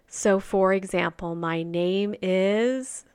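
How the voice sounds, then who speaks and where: Female meditation guide: very slow, soft and soothing delivery, smooth clear tone, dry close recording. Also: medium pitch, dry recording. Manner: soft, slow and soothing, trailing off into near-whispers.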